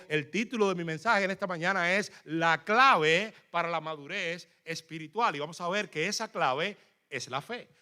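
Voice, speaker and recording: A man with a Cuban accent preaching a sermon in a fervent, emphatic way, often pausing on fillers. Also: high-pitched, bright, forceful tone, clear, resonant tone. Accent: Cuban Spanish. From Cuba. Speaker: guest preacher.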